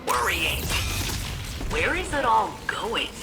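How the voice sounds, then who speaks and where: Quirky female ship AI, deadpan asides, lilting animated voice, heavy game soundtrack. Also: medium pitch, slow. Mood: matter-of-fact. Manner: deadpan precision mixed with casual asides. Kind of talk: video game dialogue. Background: game score music.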